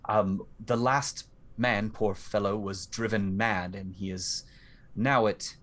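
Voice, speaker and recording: A man's voice: fairly low pitch, steady tone, slow pace, a bit bright. A man playing an older homeowner who speaks formally and hesitantly, with many ums and restarts. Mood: uneasy. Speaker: game master.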